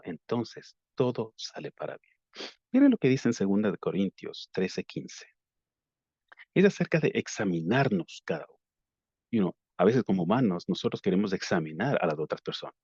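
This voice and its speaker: A man's voice: low voice, a little mellow, husky. A man with an Ecuadorian Spanish accent gives an earnest devotional sermon, repeating phrases for emphasis. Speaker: lay preacher. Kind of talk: devotional sermon with prayer and scripture reading. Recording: roomy, dull lo-fi online call audio.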